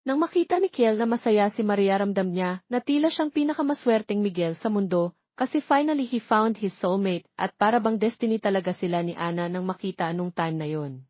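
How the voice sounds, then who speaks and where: A woman's voice: medium pitch, expressive, a little fast, dry recording. Filipina lady reading out a romance story, dry close sound, kinda muffled. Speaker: audiobook narrator. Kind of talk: scripted romance story narration. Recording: dull lo-fi audio.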